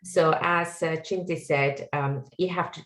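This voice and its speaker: A woman's voice: deep voice, fairly even intonation, measured pace, a bit bright, roomy sound. A middle-aged woman with a Sri Lankan accent, speaking as a guest on an online panel in an earnest, reflective way with many fillers. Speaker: guest speaker, tech executive.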